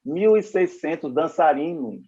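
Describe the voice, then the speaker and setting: A young man from Salvador, giving a formal, measured introduction as panel moderator, with pauses and restarts. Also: fairly high pitch, animated, warm tone, breathy edge, dull audio. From Salvador, Bahia, Brazil.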